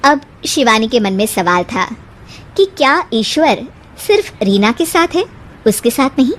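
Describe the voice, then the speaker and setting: A woman's voice: a little high, big pitch swings, unhurried. A woman storyteller reading a scripted moral story.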